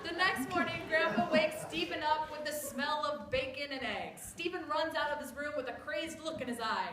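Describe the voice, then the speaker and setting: High-pitched woman narrator in an echoey room. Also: moderate pace. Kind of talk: reading stage directions aloud. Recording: echoey room, faint background noise.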